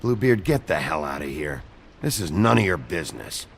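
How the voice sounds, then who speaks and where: Very deep, gravelly sheriff. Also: male voice, very animated, moderate pace, slight background noise, full character voice. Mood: curt. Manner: blunt, laconic. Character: gruff, terse male sheriff in a noir fairytale. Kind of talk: video game voice acting.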